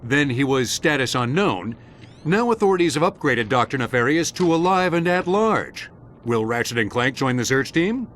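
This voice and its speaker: An actor voicing a smooth male TV news anchor with polished broadcast delivery. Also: medium pitch, expressive, normal speed, a bit bright, slight background noise, close to an everyday voice. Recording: game cutscene with faint music.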